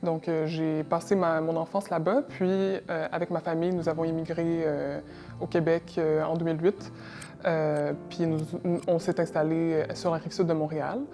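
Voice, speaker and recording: A young woman with a low, mellow voice answers interview questions slowly and hesitantly, stopping often on fillers and repeating words. She grew up in Russia. Soft music plays behind her. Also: flat delivery. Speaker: interview guest, environmental technician.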